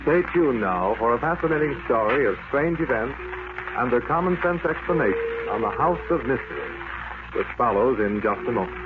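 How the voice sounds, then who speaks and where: A man's voice: medium pitch, animated, moderate pace. A man working as a network announcer, reading formally in 1940s radio style. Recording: tinny old radio recording, clear noise.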